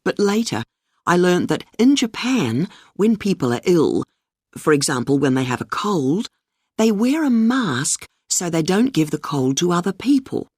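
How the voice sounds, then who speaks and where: A woman with a New Zealand accent, telling a short scripted story in a clear, calm way for a coursebook recording. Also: on the low side, a little slow, dry recording, heavily acted. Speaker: speaker in a coursebook listening. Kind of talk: scripted monologue. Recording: dry studio recording.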